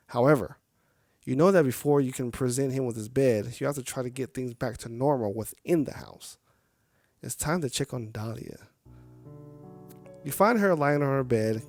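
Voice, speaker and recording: A man's voice: mid-range voice, darkish tone, dry recording, clean studio sound. A man reading a game's story aloud on a live stream, with casual, chatty asides to viewers. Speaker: game streamer.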